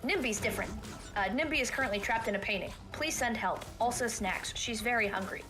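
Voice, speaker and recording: A woman's voice: on the high side, fairly quick. A young woman with a youthful, babyish voice, chatting casually as a stream co-host. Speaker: stream co-host and emote artist. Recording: music behind the voice.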